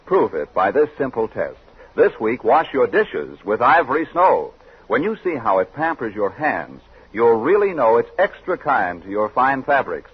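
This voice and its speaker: A man's voice: medium pitch, big pitch swings, normal speed, breathy edge, lo-fi sound. A man working as a commercial announcer, reading a 1940s radio advertisement.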